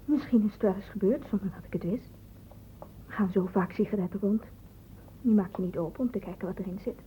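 A woman's voice: mid-range voice, a little fast, mellow, natural voice. An actress playing a guarded, evasive teenage girl in a radio drama, giving hesitant, defensive answers. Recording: noisy, dull lo-fi old radio recording.

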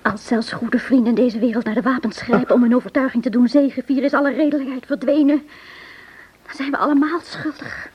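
Husky, emotional woman in radio drama. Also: sits high, fairly even intonation, brisk, highs cut off. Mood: tender, sorrowful. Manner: emotional, reproachful, pleading. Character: anguished, tender sister nursing her wounded brother. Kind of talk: Dutch radio drama acting.

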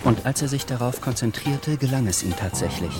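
A man narrating a literary radio play as a sober, reflective storyteller, in a measured manner. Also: medium pitch, lively intonation, loud music behind the voice, close to an everyday voice. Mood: measured, grave. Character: sober, reflective male storyteller of a wartime novel.

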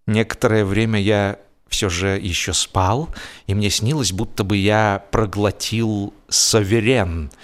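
A man narrating a literary story in an expressive, dramatic radio style, telling it in the first person with deliberate pauses. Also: on the low side. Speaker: audiobook narrator, actor. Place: radio studio. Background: music.

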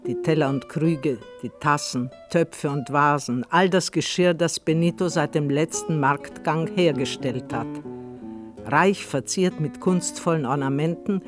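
A man with a fairly high-pitched voice narrates a radio play from a script. Plucked guitar music plays clearly behind him as he tells the story. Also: unhurried.

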